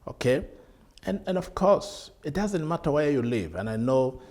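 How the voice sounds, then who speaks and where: A middle-aged Kenyan man, a bishop, teaching a televised sermon from a studio desk with earnest rhetorical questions and drawn-out emphasis. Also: on the high side, sing-song, darkish tone.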